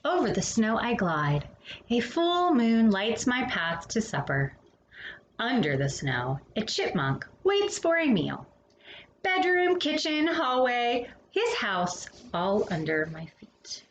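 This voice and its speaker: A woman reading a children's picture book aloud as a storytime host, with expressive phrasing and hushed, drawn-out endings. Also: on the high side, highs cut off. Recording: roomy echo with faint background noise.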